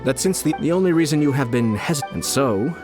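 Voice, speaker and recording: An actor playing a calm, shrewd young nobleman in a video game, speaking in a measured, courteous way with quiet menace. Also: medium pitch, natural voice. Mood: composed, plotting. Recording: game music behind the voice.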